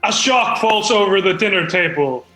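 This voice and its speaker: A man with a very bright, high voice reads out stage directions. He is earnest and hesitant, easily flustered, and the space around him is echoey, with music underneath. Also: animated, normal speed. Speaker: screenwriter reading out his script at a comedy table read. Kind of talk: halting stage-direction narration and comic banter.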